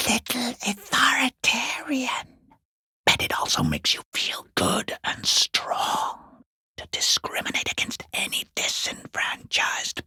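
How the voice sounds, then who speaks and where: A man playing a mocking, sinister unseen watcher in a video game, in a hoarse whispering rasp with taunting, drawn-out phrasing. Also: extremely high pitch, bright, forceful tone, no echo, studio quality, full character voice. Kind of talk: video game character voice lines.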